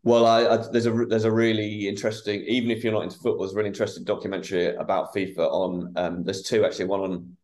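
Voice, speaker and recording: A man hosts a podcast interview, asking his questions in a rambling way full of restarts. His voice sits somewhat low. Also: level tone, fast, hardly any pauses, a little mellow. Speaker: podcast host. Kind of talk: casual interview.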